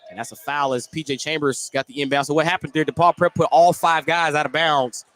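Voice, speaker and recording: A man's voice: a little high, fairly even intonation, fairly quick, a bit bright. A man calling live basketball play-by-play as a radio announcer from a gym. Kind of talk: live sports commentary. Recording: light gym noise behind the commentary. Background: gym ambience.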